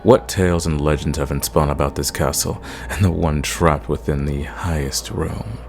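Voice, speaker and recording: A man playing an ancient, sadistic, condescending vampire in an ASMR roleplay, speaking in a theatrical, measured way and drawing out his words. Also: a bass voice, steady tone, vocal fry, a little mellow, faint music behind. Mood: wry, curious.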